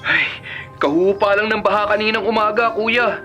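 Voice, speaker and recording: An actor playing an earnest, troubled younger brother in a Filipino radio drama. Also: male voice, high-pitched, moderate pace, close to an everyday voice. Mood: troubled, weary. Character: earnest younger brother reporting flood disaster. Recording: music behind the dialogue.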